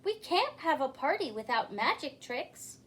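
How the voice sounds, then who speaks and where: A demanding storybook king played by a woman, booming out orders. The delivery is slow and very animated. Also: full character voice. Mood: commanding. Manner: commanding, booming.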